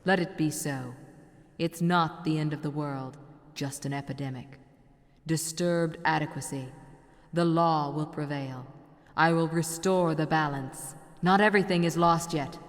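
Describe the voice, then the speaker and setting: A woman's voice: low-pitched, steady tone, unhurried. An imperious inquisitor from a game, cold and absolute. She declaims solemnly and with command, her voice carried on long hall reverb. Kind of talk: theatrical scripted game dialogue.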